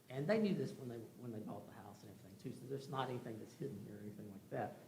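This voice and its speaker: A man's voice: mid-range voice, vocal fry, a little mellow. A man giving an informal, rambling presentation to a commission, explaining things in a conversational, folksy way as a contractor would. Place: indoor meeting room. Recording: echoey room with faint background noise.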